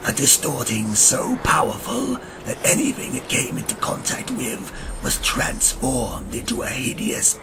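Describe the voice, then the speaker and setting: A man playing a grim, ancient vampire hunter who narrates a gothic audio drama in a solemn, ornate and gravelly manner. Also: fairly high pitch, full character voice. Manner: solemn, ornate, deliberate delivery. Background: dramatic music.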